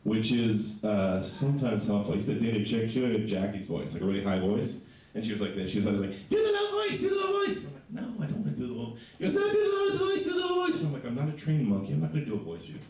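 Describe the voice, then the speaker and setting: A man with a low voice doing a stand-up comedy set in a small comedy club, telling stories with lively, animated delivery. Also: fast. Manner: animated storytelling, voices for others. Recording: echoey club sound from a distant mic.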